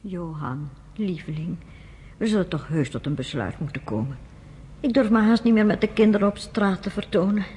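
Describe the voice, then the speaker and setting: A woman's voice: on the low side, expressive, moderate pace, warm tone, slight background noise, natural voice. An actress playing an anxious, pleading pregnant mother in a radio drama.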